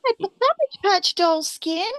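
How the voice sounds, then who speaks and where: A woman's voice: very high-pitched, expressive, moderate pace. A playful, irreverent woman, chatting casually as a player in a tabletop game.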